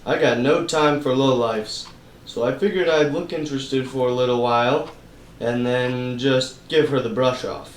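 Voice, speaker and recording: A man's voice: mid-range voice, steady tone, normal speed, echoey room, far from the mic, slight background noise, lightly acted. A man playing a cynical, money-minded private investigator in a noir radio play, with slangy, wisecracking tough-guy patter. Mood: wry narrating.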